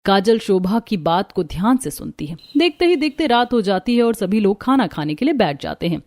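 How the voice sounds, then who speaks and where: A woman's voice: medium pitch, big pitch swings, moderate pace, darkish tone, dry recording, clean studio sound. A woman reading scripted narration for a cartoon family story.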